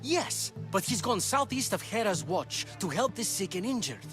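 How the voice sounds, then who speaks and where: A man's voice: high-pitched, very animated, normal speed, music in the background. A man playing a nervous, earnest apprentice physician in a video game, flustered and eager to please. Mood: sheepish. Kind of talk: video game cutscene dialogue.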